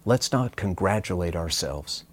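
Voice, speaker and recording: Deep, husky man asking a moral question. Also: animated, measured pace. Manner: earnest, rhetorical. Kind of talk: brief sermon-like commentary.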